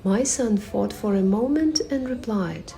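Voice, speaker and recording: A woman reading a personal essay aloud at a public reading, in a measured, reflective way with pauses between phrases. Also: mid-range voice, vocal fry, dark tone, soft background music. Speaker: reader at a performative reading.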